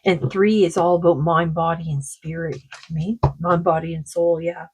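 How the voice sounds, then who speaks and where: A woman with a sore throat giving a rambling, casual oracle card reading, full of fillers and slow read-aloud passages. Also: lowish voice, flat delivery, vocal fry, a little mellow, husky, low-quality recording. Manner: rambling, many fillers, reads card texts aloud slowly. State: sore throat, losing her voice.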